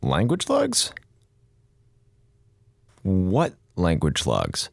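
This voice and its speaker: A man's voice: low-pitched, huge pitch swings, extremely slow, vocal fry, full character voice. A man playing a wry, anxious and chatty space station crewman in an audio drama, speaking with sarcasm and hesitation. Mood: wry, baffled.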